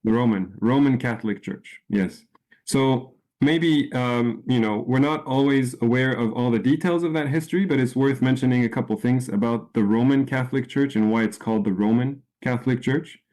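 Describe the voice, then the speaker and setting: A man from France giving an informal talk to a small group, unhurried, with frequent ums and restarts. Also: mid-range voice, darkish tone. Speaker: presenter. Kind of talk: informal presentation.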